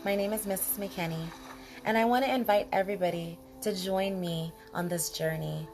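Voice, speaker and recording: A woman leading a guided body scan meditation in a calm, soothing manner, speaking in short, unhurried phrases with pauses. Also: medium pitch. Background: music behind the voice.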